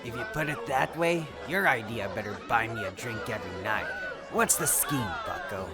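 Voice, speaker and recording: A man playing a gruff, boastful, hard-drinking pony in an audio drama, with a gravelly, sardonic delivery. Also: medium pitch, lively intonation, normal speed, lightly acted. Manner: sardonic, swaggering. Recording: tavern crowd and music behind.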